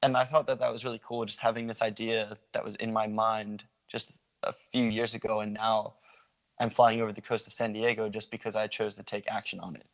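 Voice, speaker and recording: A young man from the Philadelphia area talks in an easygoing, informal way, often pausing on "um." His pitch barely moves and stays fairly even from phrase to phrase. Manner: relaxed, informal, many ums. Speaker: guest sharing a meet-people project. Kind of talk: casual interview chat.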